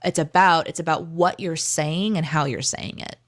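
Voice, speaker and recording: A woman's voice: low-pitched, moderate pace. A woman co-hosting a live show, chatting casually in an encouraging, chatty way. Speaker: co-host of a live stream and podcast. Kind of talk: casual livestream chat.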